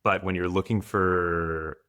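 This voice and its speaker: A man's voice: deep voice, steady tone, slow, sharp tone, smooth. A man from France, a guest father, giving thoughtful, candid answers in a podcast interview.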